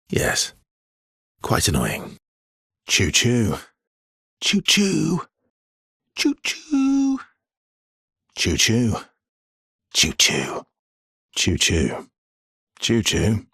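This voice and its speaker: A man playing a weary, guarded video game expeditioner, with a husky, breathy voice and an understated, measured, brooding delivery. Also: deep voice, fairly bright tone, no echo, studio quality, heavily acted. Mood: dry, wry, then playful. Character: Verso, weary guarded male expeditioner with quiet regret. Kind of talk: video game voice lines, dramatic acting.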